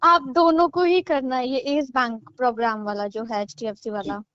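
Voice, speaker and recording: A woman with a high voice explains things as a counsellor would. She keeps checking understanding. The sound is a dry, dull recorded call. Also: fairly even intonation, normal speed, a bit bright, smooth, no room sound. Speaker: career programme counsellor. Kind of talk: phone sales explanation.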